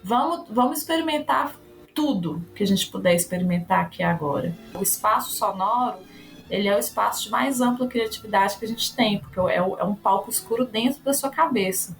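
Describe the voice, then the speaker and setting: An actress and educator talking casually in an interview. Her voice moves freely up and down as she thinks aloud. Soft music plays under her. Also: medium pitch, a little fast, gravelly, rough recording. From Brazil. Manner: animated, musing, fond of rhetorical questions.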